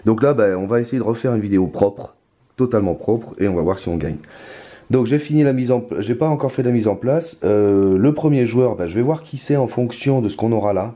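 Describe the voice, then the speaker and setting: A hoarse man talking his way through a solo card game in hesitant, mumbled commentary. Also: fairly low pitch, mellow. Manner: hesitant, mumbling, many restarts, muttering to himself. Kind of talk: casual solo gameplay commentary. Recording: roomy echo, faint ambience.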